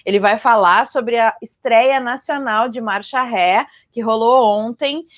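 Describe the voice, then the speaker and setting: A woman's voice: on the high side, unhurried, no echo, studio quality. A woman hosting a live online festival program in a conversational style. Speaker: program host, journalist.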